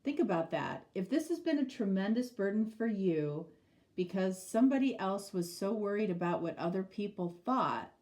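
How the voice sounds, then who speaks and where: A woman's voice: mid-range voice, lively intonation, moderate pace, a little mellow. A middle-aged woman with a stuffy, nasal voice from a cold, giving a casual tarot reading and rambling through many fillers. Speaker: tarot reader.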